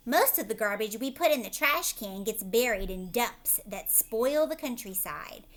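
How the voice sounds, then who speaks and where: A woman's voice: on the high side, lively intonation, a little slow, a bit bright. A woman voicing a patient male schoolteacher in a children's storybook, explaining emphatically and prompting with questions. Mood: instructive, encouraging.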